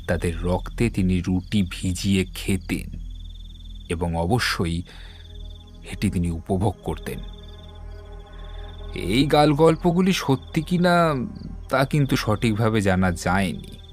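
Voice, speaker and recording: A methodical detective acted by a man. He explains in measured, assured phrases, as in an audio mystery play. Music runs underneath. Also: low voice. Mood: composed, explanatory. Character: composed, methodical male Bengali detective explaining a case. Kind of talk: dramatised audio detective story.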